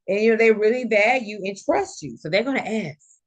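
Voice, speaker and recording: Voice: mid-range voice, lively intonation, brisk. Nursing instructor of unclear sex, middle-register voice, lecturing a live class informally and explaining with everyday examples. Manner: explanatory, illustrates points with quoted examples.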